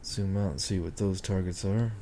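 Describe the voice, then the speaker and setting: A man walking through market charts on a screen share, thinking aloud with many ums and a hoarse voice. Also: low-pitched, fairly even intonation, moderate pace, dark tone, slight background noise. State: hoarse, clearing his throat. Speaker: market analyst.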